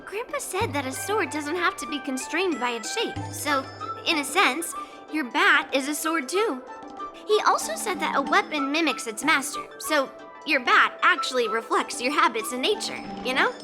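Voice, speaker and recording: A woman's voice: sits high, lively intonation, moderate pace. A young actress voicing a bold, blunt young swordswoman in a video game cutscene, talking in a teasing, confident way. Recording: game cutscene over music. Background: background music.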